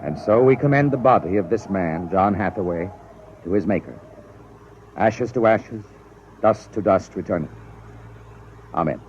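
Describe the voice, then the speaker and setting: Low-voiced, compassionate captain. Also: male voice, animated, a little mellow. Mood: solemn. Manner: deliberate, earnest. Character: compassionate, authoritative male spaceship captain, grave and understanding. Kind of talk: 1950s radio drama acting. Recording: muffled, noisy 1950s radio recording.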